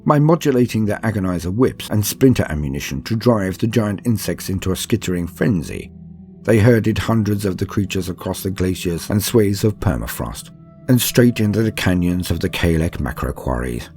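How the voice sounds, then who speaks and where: A man giving a calm, steady, measured reading of a scripted story, in the style of a soothing audiobook narrator. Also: low voice, faint music behind. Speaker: narrator reading a campaign book aloud.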